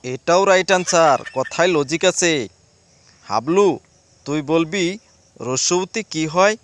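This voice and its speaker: Guy doing a stern flustered cartoon teacher, really high pitched for a man, scolding, dry sound. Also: slow pace, no room sound. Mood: quizzing, approving. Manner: scolding, theatrical. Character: exasperated, stern male schoolteacher, easily flustered. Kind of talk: comedy cartoon dialogue.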